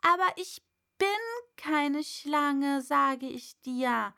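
Woman playing a storybook little girl, high, bright, earnest, polite, indignantly protesting. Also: animated, a little slow, full character voice. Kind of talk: storybook character voice.

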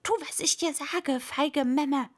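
A woman's voice: high voice, steady tone, slow. A woman voicing an angry, bossy male rabbit from a storybook, scolding and calling out orders imperiously. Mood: irritated, bossy.

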